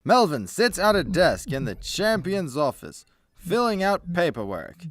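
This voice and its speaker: Slow, animated male radio play narrator. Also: high-pitched, no echo, clean studio sound. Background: music behind the voice.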